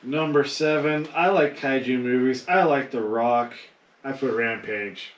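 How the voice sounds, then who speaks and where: A man's voice: mid-range voice, unhurried. A man chatting casually as a panelist on a group podcast. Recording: roomy echo, distant mic.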